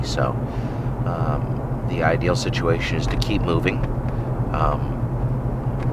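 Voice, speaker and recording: Hoarse, nasal man talking in a car. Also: a bass voice. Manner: rambling, chatty, with many fillers. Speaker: running podcast host. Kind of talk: casual monologue. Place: inside a car. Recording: loud car road noise.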